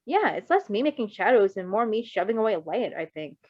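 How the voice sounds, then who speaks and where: A woman's voice: medium pitch, sing-song, fairly quick, highs cut off. A woman voicing an awkward, hesitant young male superhero character in an amateur fan fiction reading, informal and self-effacing. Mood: shyly impressed. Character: skittish, awkward young male superpowered runaway, apologetic and shyly curious.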